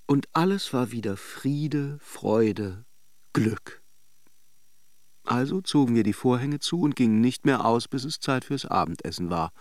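An actor playing a wry, attentive lover in a German radio drama, understated and a little hesitant. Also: male voice, medium pitch, lively intonation, a little slow, no echo, clean studio sound, close to an everyday voice. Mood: wry, reminiscing. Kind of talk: German radio drama acting, with reflective narration.

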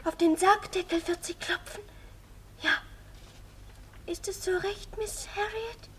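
A very high, childlike girl's voice, eerie and entranced as a spirit medium in a radio drama. She speaks in hushed, slow phrases with long pauses, over faint background noise. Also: steady tone, full character voice. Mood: eerie whispering. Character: eerie young girl medium possessed by a ghost. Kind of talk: German radio play acting.

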